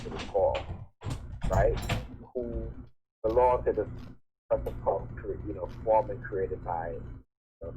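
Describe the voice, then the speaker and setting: A man's voice: fairly low pitch, noisy background. A man giving informal, emphatic commentary on news articles as a live stream host, often checking for agreement with short tag questions.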